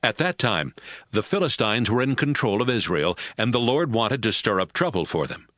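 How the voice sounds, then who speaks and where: A man narrating a Bible story in a steady, storytelling read. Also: fairly low pitch, moderate pace, no room sound, studio quality. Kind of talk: scripted scripture narration.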